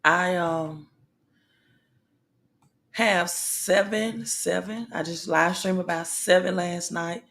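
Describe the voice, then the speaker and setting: A woman with a slightly raspy, lowish voice hosts a casual livestream, speaking directly to her viewers. She talks very slowly, pausing often and leaning on fillers as she works through the news. Her delivery is halting and conversational rather than scripted. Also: fairly even intonation, fairly bright tone. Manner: slow, halting delivery with many pauses and fillers. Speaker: livestream host.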